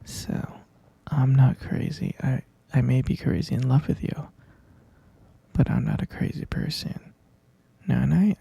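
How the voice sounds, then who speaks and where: ASMR roleplay: obsessive boyfriend captor, played by a man, slow, close and dry, husky whisper, sweetly menacing and coaxing. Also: mid-range voice, warm tone, dry recording, full character voice. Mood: insistent, defensive. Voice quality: breathy, husky whisper with gravelly creak. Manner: cooing, coaxing, slow and halting.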